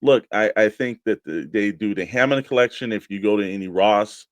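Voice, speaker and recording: A man's voice: lowish voice, normal speed, no room sound. A man co-hosting a casual talk show, chatting about toy collecting.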